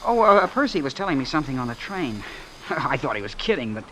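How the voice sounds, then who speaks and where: A man's voice: mid-range voice, big pitch swings, fairly quick, bright, forceful tone. An actor in an old-time radio drama playing an earnest, lovestruck young man, nervous and indignant, with a hesitant, stammering delivery. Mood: polite, curious. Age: young adult. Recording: noisy vintage radio broadcast recording.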